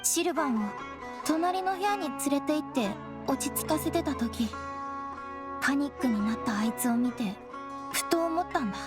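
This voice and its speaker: A woman's voice: high voice, expressive, normal speed, loud music behind the voice. A woman playing a young, earnest, brooding traveler with plain, direct speech in a Japanese game. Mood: troubled, pensive.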